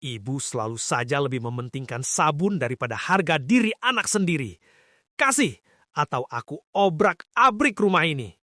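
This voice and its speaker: A man's voice: a little high, sing-song, normal speed, sharp tone, no echo, studio quality. A man playing an arrogant, lazy, ungrateful young son in a cartoon drama, sneering and demanding. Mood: angry, threatening. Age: young adult. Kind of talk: animated cartoon moral drama.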